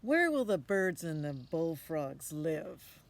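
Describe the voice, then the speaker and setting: A woman's voice: deep voice, very animated, slow pace, creaky, full character voice. An older woman voicing a doubtful mother in a storybook, questioning her young son in a pointed way. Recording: outdoor ambience with noticeable background noise.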